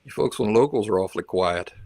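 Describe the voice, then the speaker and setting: Low-voiced man chatting on a livestream. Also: fast talker, a little mellow, no echo. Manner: chatty, rambling, reacts to viewer comments; switches between English and learner's Spanish. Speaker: livestream host. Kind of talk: casual chat with viewers.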